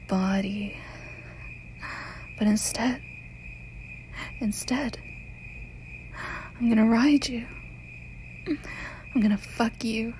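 A woman's voice: medium pitch, darkish tone, a little hiss or hum, lightly acted, low-quality recording. A woman playing a sadistic, possessive killer in explicit erotic audio roleplay, whispering breathily as she taunts and commands her captive lover. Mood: menacing, whispered.

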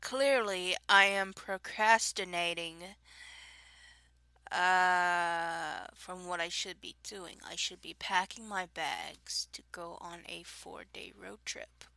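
A young woman with a Southern drawl talks in a loose, rambling way, breaking into giggles and tripping over her own sentences. Her voice is bright and forward, and she speaks very slowly. The recording is close and dry, with no room sound. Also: fairly low pitch, fairly even intonation, gravelly, no room sound. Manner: giggly and rambling, with many restarts. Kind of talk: casual chat recorded alone.

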